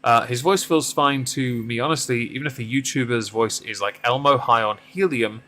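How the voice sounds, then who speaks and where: A man's voice: moderate pace, pushed and bright. A man in his mid-thirties chatting casually with viewers on a driving game stream, rambling and self-deprecating. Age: 30s. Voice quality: deep voice. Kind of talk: casual live-stream chat while driving.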